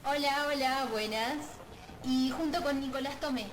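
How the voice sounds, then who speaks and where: High pitched lady cohost doing scripted hello, far from mic, really loud noise around her. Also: a little slow. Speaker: podcast co-host. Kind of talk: scripted podcast greeting. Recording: distant, echoey, very noisy background. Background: loud ambient noise.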